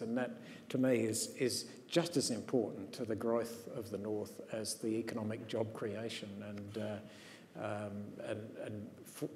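A man speaking on a conference panel, hesitant with frequent restarts and fillers, recorded on a stage in a large hall. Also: fairly low pitch. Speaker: panelist demographer. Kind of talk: panel discussion. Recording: long hall reverb, faint room noise.

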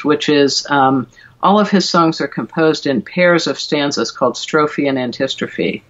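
Low-pitched woman on a dull call. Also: fairly bright tone. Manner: halting, thoughtful explaining. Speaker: guest, verse translator and classicist. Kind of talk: conversational interview explanation. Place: indoor room at home, video call. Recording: dull, lo-fi video call audio.

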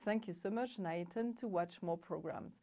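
A woman's voice: fairly low pitch, highs cut off. A middle-aged French woman with a French accent, co-hosting a TV programme and talking casually to camera, searching for words now and then. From France. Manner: halting, searching for English words. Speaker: co-host. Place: studio set with bookshelves. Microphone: lapel.

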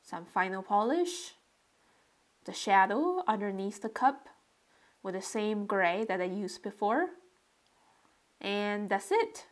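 A woman narrating a relaxed drawing tutorial step by step, describing what she does as she draws. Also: medium pitch, slow, pauses often. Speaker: sketching tutorial host.

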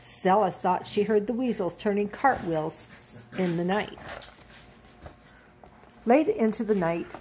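A woman's voice: medium pitch, slow, long pauses, mellow, a little hiss or hum. An older woman storyteller reading a children's picture book aloud. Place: indoors, at a desk at home.

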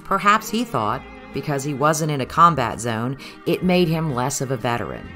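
Low-pitched woman narrating history over music. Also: big pitch swings, moderate pace, sharp tone. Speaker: documentary narrator.

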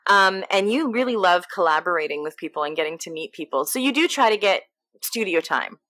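Bright, upbeat young woman host. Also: on the low side, lively intonation, brisk. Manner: upbeat, affirming, lots of fillers. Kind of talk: casual interview.

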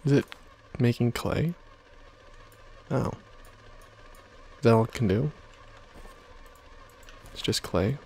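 A man softly murmuring and whispering ASMR gameplay commentary, musing to himself in a hushed, breathy way close to the microphone. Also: mid-range voice, slow pace, long pauses, a little hiss or hum. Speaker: gaming video host.

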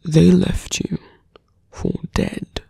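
A man playing a seductive, menacing fantasy villain in an ASMR roleplay, soft-spoken and breathy, often slipping into whispers, with deliberate pauses. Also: no echo, clean studio sound. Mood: menacing, insistent. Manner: slow, deliberate, with long pauses.

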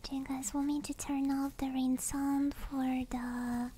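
A woman whispering gently and breathily in an ASMR livestream, close to a binaural microphone. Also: sits high, flat delivery, a little slow, a little hiss or hum. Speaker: streamer, ASMR host. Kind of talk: whispered ASMR roleplay and chat.

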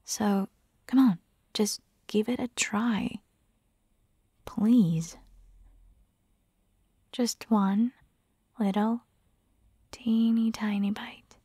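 A woman playing a caring, teasing vampire hunter girlfriend in an intimate ASMR roleplay, whispering breathily and coaxing gently. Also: medium pitch, steady tone, very slow, long pauses, breathy edge, no echo, clean studio sound. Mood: coaxing, reproachful.

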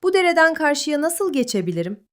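A woman voicing a curious, hasty young fox in a children's bedtime story, eager and quick. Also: high-pitched, sing-song, fairly bright tone, voice pushed up.

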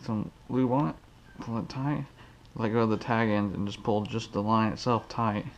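A man's voice: on the low side, fairly even intonation, normal speed. A man hosting a fishing channel, casually demonstrating a knot in his garage while counting the steps aloud. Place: cluttered garage, indoors. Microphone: head-mounted action camera.

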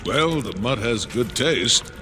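An actor playing the dry, skeptical voice in an antihero's head in a video game, commenting matter-of-factly. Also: male voice, medium pitch, lively intonation, slow. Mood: deadpan. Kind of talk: video game character acting. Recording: game music behind the voice.

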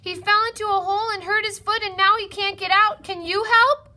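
Woman voicing an emphatic, bright-voiced storybook hedgehog. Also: extremely high pitch, brisk, pitched above the performer's own voice, exaggerated voice. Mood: urgent. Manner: emphatic, expressive. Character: sensible, bossy, caring hedgehog friend.

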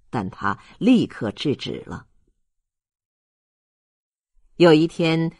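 Slow, expressive audiobook narrator. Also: medium pitch, slightly rough, no room sound, clean studio sound.

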